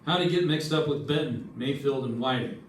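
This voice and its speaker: Hard-boiled detective , male actor: middle pitch, clipped and probing, old-time radio style, echoey sound. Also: a little fast, lightly acted. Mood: pressing. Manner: terse, pointed. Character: hard-boiled male investigator narrating and questioning suspects. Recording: echoey room, distant mic, music.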